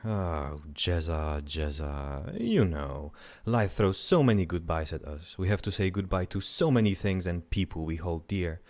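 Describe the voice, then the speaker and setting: A man's voice: low voice, expressive, unhurried, hardly any pauses, darkish tone. A man playing a dry, sarcastic spirit guide to the dead in an audio drama. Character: wry male spirit guide to the afterlife.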